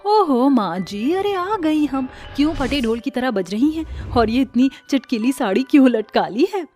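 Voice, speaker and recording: A female narrator acts a snide young daughter-in-law in a cartoon. The voice is fairly high, with exaggerated taunting delivery over light music. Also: animated, unhurried, a little mellow. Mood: mocking. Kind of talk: cartoon story dubbing.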